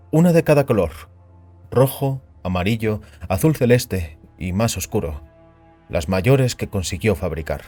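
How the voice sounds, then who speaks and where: A man's voice: medium pitch, darkish tone. A man reading a science fiction story as a scripted, dramatic audiobook narration. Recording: music under the voice. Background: background music.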